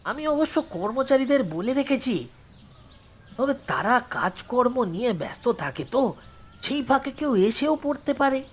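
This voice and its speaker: A woman voicing a bossy, talkative caretaker man with a husky, emphatic delivery in an audio story drama. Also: high-pitched, big pitch swings, measured pace, full character voice. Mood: insistent. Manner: commanding, scolding, emphatic.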